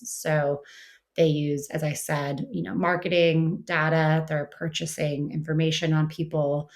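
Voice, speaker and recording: A woman speaking as an interviewed expert, explaining conversationally with frequent "you know" and "kind of". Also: low-pitched. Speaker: interviewed researcher and writer.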